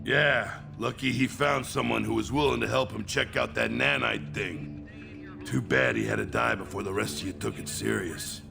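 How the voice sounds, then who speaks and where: An actor playing a cynical tough-guy hero, gruff and sardonic, in a game cutscene. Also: on the low side, normal speed, over music. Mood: bitter. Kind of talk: dramatic video game cutscene acting.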